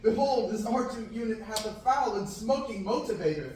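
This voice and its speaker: Young man, very animated high-pitched script reading. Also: moderate pace. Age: young adult. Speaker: amateur reader at a student table reading. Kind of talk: mock-Shakespearean script read aloud. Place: large indoor rehearsal room, far camera. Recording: distant camera in echoey noisy room.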